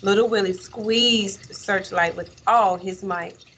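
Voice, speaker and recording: A woman teacher reading a children's story aloud in an expressive, measured way, with dramatic pauses. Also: mid-range voice.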